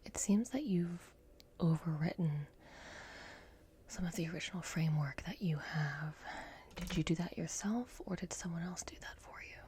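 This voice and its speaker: A woman speaking in a soft, breathy whisper, gently guiding the listener through an ASMR roleplay as a repair technician. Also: fairly low pitch, slight background noise. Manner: gentle, reassuring, unhurried guidance. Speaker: ASMR performer playing a robot repair technician.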